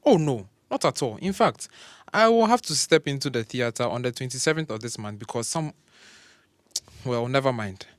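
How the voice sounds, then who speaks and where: Man playing an arrogant older surgeon, condescending, very animated delivery, dry close sound. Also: medium pitch, normal speed, no echo, close to an everyday voice. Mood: boastful, scornful. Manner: grandiose, condescending. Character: pompous, arrogant older male surgeon professor and father. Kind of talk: audio drama acting.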